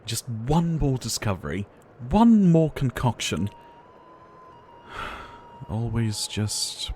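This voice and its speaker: A man playing a gentle, ailing pharmacist in an ASMR roleplay, soft-spoken and courteous, with wistful, theatrical phrasing. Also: mid-range voice, a little hiss or hum. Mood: weary, fearful. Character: gentle, ailing male pharmacist, courteous, earnest, devoted healer. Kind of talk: ASMR roleplay addressed to the listener.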